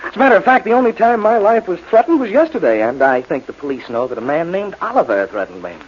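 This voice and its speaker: A man's voice: sits high, big pitch swings, quick pace, a little hiss or hum. A man acting a fussy, evasive, easily flustered businessman in 1940s radio style. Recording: muffled 1940s radio recording.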